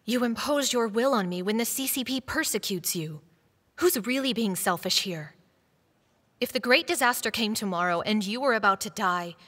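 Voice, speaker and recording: A woman's voice: on the high side, fairly bright tone. A woman playing a devout, defiant middle-aged wife in a dubbed stage drama, earnest, preachy and challenging. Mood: indignant, reproachful. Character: devout, defiant wife pursued for her faith. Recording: reverberant hall-like sound.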